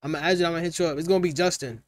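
A man's voice: fairly high pitch, fairly even intonation, very fast, no room sound. Brash young man teasing strangers. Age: 20s. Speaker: Omegle video chat host. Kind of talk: casual video chat banter. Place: small dim room with colored lights. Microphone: headset.

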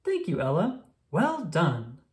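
A woman voicing a friendly, reassuring flamingo eye doctor in a children's storybook, gentle and encouraging. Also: low-pitched, wildly swooping pitch, takes it slowly, full character voice. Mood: kindly, encouraging.

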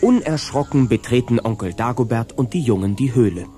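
A man's voice: medium pitch, animated, fairly quick, loud music behind the voice. A man narrating a scripted children's audio drama.

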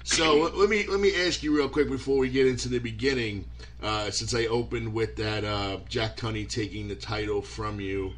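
A man's voice: on the low side, steady tone, moderate pace, a little hiss or hum. A man hosting a podcast interview, asking eager questions with frequent stammers. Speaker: podcast host. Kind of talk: casual interview.

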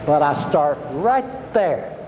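A man's voice: a little high, very animated, slow, roomy sound, in a large hall, muffled recording, a little hiss or hum. An older man, giving a plainspoken, homespun fishing talk to an audience, repeating his points. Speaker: fishing instructor. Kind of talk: folksy seminar talk.

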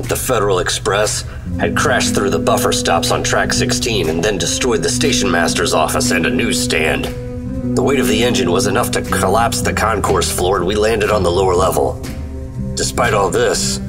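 A man's voice: deep voice, normal speed, recorded from a distance, lightly acted. An actor playing a steely 1950s government agent, narrating his adventures in a clipped, dramatic, hard-boiled radio style. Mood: sober, resolute. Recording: loud music under the voice.

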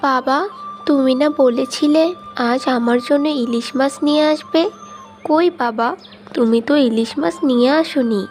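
A woman's voice: sits high, moderate pace, darkish tone, faint music behind, lightly acted. A woman voicing a sweet, fretful young village daughter in a fairy-tale cartoon, childlike and pleading. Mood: disappointed.

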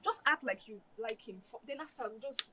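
Actress as wheedling, high-voiced young Nigerian woman. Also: expressive, brisk, noisy background, lightly acted. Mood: pleading. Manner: wheedling, coaxing, repetitive pleading. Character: cajoling, money-minded, theatrical young best friend. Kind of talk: lively screen drama acting with Pidgin phrasing.

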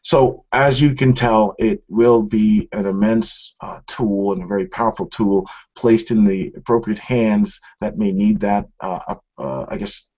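A man's voice: fairly low pitch, steady tone, unhurried, few pauses, warm tone. A middle-aged man giving an informal product demonstration, explaining conversationally and speaking straight to viewers. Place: small office room, indoors. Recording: dull, lo-fi webcam stream sound.

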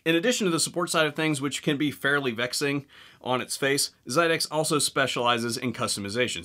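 A man's voice: medium pitch, pushed and bright. A man in his thirties hosting a gun channel, talking casually to camera with a wry, joking manner. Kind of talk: casual talk to camera with sponsor read. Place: indoor room with guns on wall behind.

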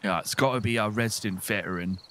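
A man's voice: fairly low pitch, fast talker, a bit bright. A man playing a tabletop game, chatting casually and jokingly about his character. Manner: playful, joking.

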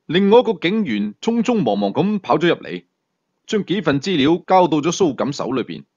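A man's voice: a little high, sing-song, moderate pace, a little mellow, muffled recording. A man reading a thriller story as dramatic scripted narration. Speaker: audiobook storyteller.

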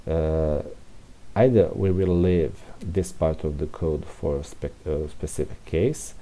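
Low, mellow, slow-talking man thinking aloud. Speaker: presenter of a programming tutorial. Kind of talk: informal unscripted screencast walkthrough of code. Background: room heater hiss.

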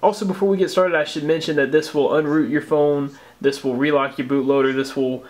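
A man's voice: fairly high pitch, fairly even intonation, brisk, darkish tone. An American man narrating a casual step-by-step tutorial as a tech host, explaining things informally.

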